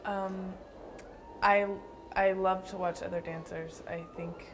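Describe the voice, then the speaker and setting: A young woman dancer answering interview questions thoughtfully, with frequent pauses and restarts. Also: medium pitch, level tone, a little hiss or hum. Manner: thoughtful, hesitant with fillers and restarts.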